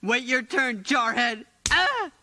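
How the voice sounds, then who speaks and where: An actor playing a cocky, irritable loudmouth who talks in a taunting, exasperated manner. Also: male voice, extremely high pitch, animated, slow, over music, exaggerated voice.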